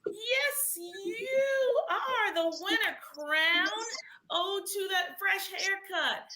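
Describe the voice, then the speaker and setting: A woman hosting a live read-aloud quiz for children in an animated, encouraging way, calling out to participants and repeating questions. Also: very high voice, extremely slow, crowd noise.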